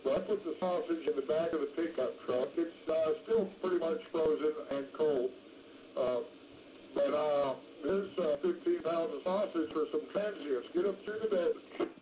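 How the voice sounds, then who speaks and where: A man's voice: a little high, normal speed, warm tone. A man chatting casually over two-way radio in a folksy, rambling way as an amateur radio operator. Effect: two-way radio transmission. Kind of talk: casual ham radio chat. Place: mobile, in a pickup truck. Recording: noisy ham radio transmission.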